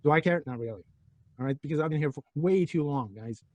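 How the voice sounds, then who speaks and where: A man's voice: on the high side, fast talker, darkish tone, no echo. A middle-aged man from Turkey lecturing a physics class, joking and throwing rhetorical questions at his students.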